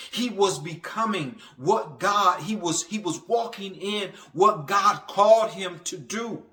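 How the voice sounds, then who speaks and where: A man preaching a motivational sermon in a halting, stammering way, repeating phrases for emphasis. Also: sits high, sharp tone. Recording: echoey, reverberant room sound.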